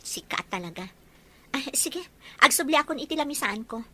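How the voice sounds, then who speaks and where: A woman's voice: sits high, lively intonation, moderate pace, pushed and bright. A woman playing a guarded, modest young working woman in an audio drama. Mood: shy, softening. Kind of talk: Ilocano audio drama acting.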